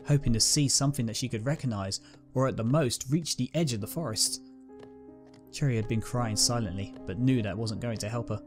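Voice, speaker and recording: A man narrating a dramatic scripted story as its reader. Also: medium pitch.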